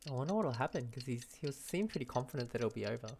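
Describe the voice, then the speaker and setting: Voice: medium pitch, animated, quick pace, vocal fry, darkish tone, soft background music. A game streamer giving casual, informal gameplay commentary in a giggly manner.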